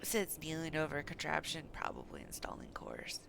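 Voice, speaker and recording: A woman game streamer giving live, expressive commentary as she plays, reacting aloud and reading dialogue. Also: deep voice, brisk, vocal fry. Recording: faint background noise.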